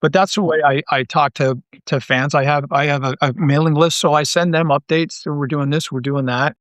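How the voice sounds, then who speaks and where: A man's voice: on the high side, steady tone, brisk, fairly bright tone, dry recording. A man speaking casually as a podcast guest and creator, self-deprecating and often repeating his words. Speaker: interview guest, audio drama creator.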